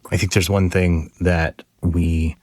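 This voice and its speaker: Late-night radio host, extremely low and flat, measured interviewer for audio drama. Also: male voice.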